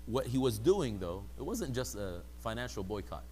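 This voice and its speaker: A man's voice: mid-range voice, sing-song, moderate pace, dark tone, slight background noise. A man giving a conversational religious lecture, sometimes acting out quoted people in a mocking voice. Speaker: religious teacher.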